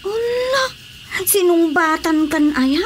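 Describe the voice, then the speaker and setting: High-voiced, teasing Ilocano young woman. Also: animated, takes it slowly, slight background noise, full character voice. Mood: teasing, coy. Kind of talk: Filipino radio drama comedy acting.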